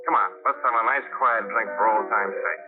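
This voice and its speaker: A man's voice: fairly low pitch, fairly quick, natural voice. An actor playing a brash, slangy vaudeville comic man in an old-time radio drama, boisterous and full of wisecracks. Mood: breezy. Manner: slangy, boisterous, breaks into song. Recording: phone-like old radio broadcast with music.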